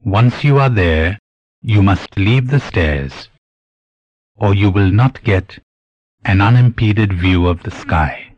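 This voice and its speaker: A man with a fairly low voice leads a guided meditation. He speaks very slowly and deliberately, leaving pauses between phrases as he gives instruction. The recording is close and dry. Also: lively intonation, no echo, muffled recording. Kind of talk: guided meditation, scripted spiritual narration.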